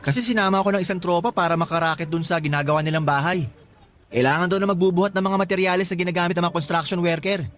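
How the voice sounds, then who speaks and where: An actor playing a friendly, polite young laborer in a radio drama, a little shy and apologetic. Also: male voice, high voice, steady tone, racing, fairly bright tone, soft background music.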